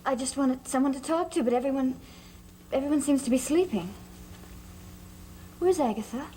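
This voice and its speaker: Actress as high-voiced, wounded young woman. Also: moderate pace, dark tone, a little hiss or hum, lightly acted. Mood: defensive, uneasy. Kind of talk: gothic soap opera acting. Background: dramatic music behind the voice.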